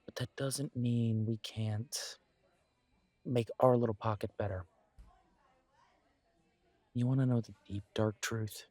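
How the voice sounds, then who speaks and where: A man playing a supportive, self-deprecating best friend in a casual roleplay audio drama, speaking in an earnest, encouraging, conversational way with pauses. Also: mid-range voice, fairly even intonation, darkish tone, dry recording. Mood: reassuring, earnest.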